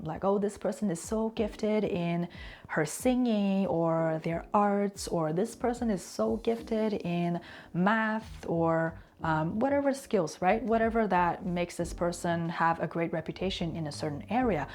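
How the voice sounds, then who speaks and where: A woman whose first language is Chinese, giving an earnest, reflective talk on consistency and slowing down to stress key words. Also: on the low side, a little mellow. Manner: conversational asides and fillers. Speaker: language and culture teacher.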